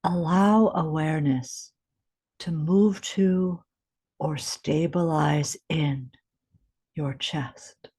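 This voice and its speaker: A woman guiding a meditation in a gentle, unhurried way, with deliberate pauses and a soft, breathy edge. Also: low-pitched. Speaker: meditation guide. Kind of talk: guided meditation, scripted instruction.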